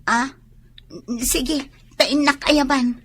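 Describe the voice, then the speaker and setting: A woman's voice: sits high, fairly even intonation, extremely slow, a bit bright, exaggerated voice. An actress with an Ilocano accent playing a disdainful, grumbling young woman in a radio drama. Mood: casual, sly.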